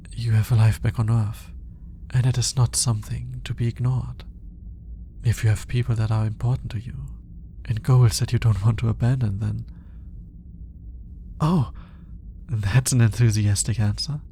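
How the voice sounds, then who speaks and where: Gravelly, tender alien scientist, acted by a man. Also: medium pitch, a little hiss or hum, lightly acted. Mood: happy, tender. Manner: hesitant, soft-spoken, lapsing into whispers. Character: earnest, smitten male alien scientist, awkward and tender. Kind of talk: intimate roleplay ASMR audio drama.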